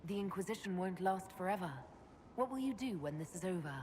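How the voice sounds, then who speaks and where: A woman playing a composed, caring young leader in a video game, speaking calmly and directly. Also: on the low side, a little fast, long reverb tail, slight background noise, close to an everyday voice. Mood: concerned.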